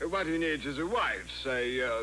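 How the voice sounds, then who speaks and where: A man voicing an impatient, middle-aged king in an animated fairy tale, blustering and coaxing as a father. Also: medium pitch, moderate pace, a little hiss or hum.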